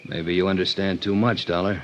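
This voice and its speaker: Deep-voiced menacing American gangster. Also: male voice, little pitch movement, a little fast, lo-fi sound. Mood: cold menace. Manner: curt, threatening tough-guy slang. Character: menacing hard-boiled gangster with a gun. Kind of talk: 1950s American radio crime drama acting. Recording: noisy old radio recording with clicks.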